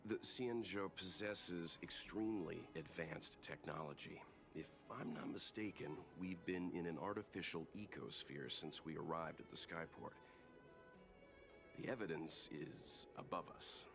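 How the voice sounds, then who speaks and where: A composed, learned traveler in a video game. His voice is extremely low, and he explains things in a measured, matter-of-fact, analytical way. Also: music in the background. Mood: calm, analytical. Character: composed scholarly older man, analytical lecturing traveler.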